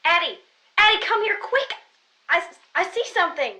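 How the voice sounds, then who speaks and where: Bright, high-pitched, theatrical young ghost hunter woman. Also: big pitch swings, normal speed, full character voice. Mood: excited alarm. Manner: dramatic, teasing, sarcastic. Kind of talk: scripted game voice acting.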